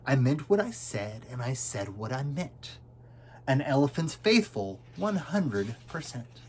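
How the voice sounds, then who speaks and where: A big, gentle storybook elephant, played by a man, speaks slowly and solemnly. He is earnest and stubbornly faithful, with a medium-pitched voice and deliberate declarations. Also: big pitch swings, slight background noise. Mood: steadfast. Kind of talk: children's storybook character reading.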